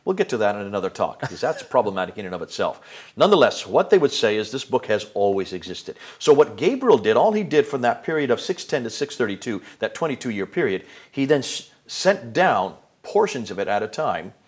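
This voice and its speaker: A man's voice: medium pitch, sing-song, fairly quick, slightly rough. A man giving an explanatory lecture to an interviewer, emphatic, with rhetorical questions and frequent fillers and restarts.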